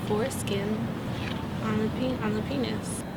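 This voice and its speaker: A woman of twenty-two answering street interview questions in a halting, thoughtful way with many fillers, outdoors on a city street. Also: medium pitch, fairly even intonation, gravelly. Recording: loud street traffic noise.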